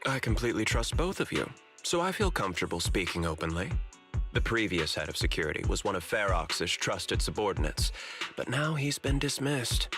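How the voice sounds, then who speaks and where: An actor playing a candid, politely ambitious corporate security chief in a video game, speaking in an articulate, confiding way. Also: male voice, lowish voice, big pitch swings, moderate pace, fairly bright tone. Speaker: corporate manager character. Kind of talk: video game story dialogue. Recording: music behind the voice.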